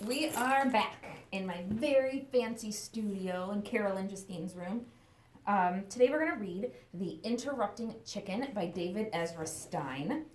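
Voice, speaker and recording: A woman's voice: mid-range voice, animated, measured pace, darkish tone, a little hiss or hum. Woman reading aloud in an echoey room. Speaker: storybook reader. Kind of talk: animated read-aloud for children. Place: indoor room. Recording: echoey, roomy sound.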